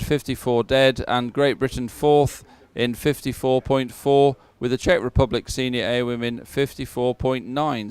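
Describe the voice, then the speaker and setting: Clear-voiced man presenting live sports coverage. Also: mid-range voice, no echo. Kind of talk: live commentary, announcing results and schedule. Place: open-air commentary position.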